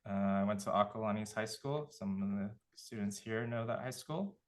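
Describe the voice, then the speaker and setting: A man from California giving a relaxed career talk as a panelist, thinking aloud with many fillers. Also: lowish voice, moderate pace. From Contra Costa County, California. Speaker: panelist, nonprofit director.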